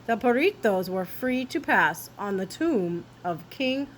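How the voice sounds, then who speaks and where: A woman storyteller reading a children's story aloud with expressive, theatrical emphasis. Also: mid-range voice, unhurried, a little hiss or hum, poor audio.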